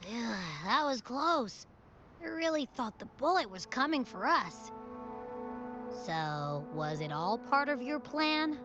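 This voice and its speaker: Game companion: plucky excitable girl, very animated and expressive, slightly muffled capture. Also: fairly high pitch, slow, soft background music, exaggerated voice. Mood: relieved, chatty. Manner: chatty, exclaiming, expressive. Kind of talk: video game story dialogue.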